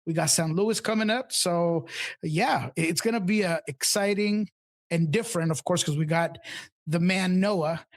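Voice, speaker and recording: A man with a slightly raspy voice co-hosting a casual sports podcast, talking loosely and emphatically with lots of fillers and restarts. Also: high-pitched, moderate pace. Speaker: podcast co-host, team insider.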